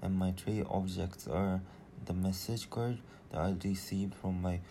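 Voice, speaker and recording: Low, flat-voiced teenage boy presenting. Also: unhurried, a little hiss or hum. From Japan. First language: Japanese. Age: teens. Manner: hesitant, many fillers and restarts. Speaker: student presenter. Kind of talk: school presentation.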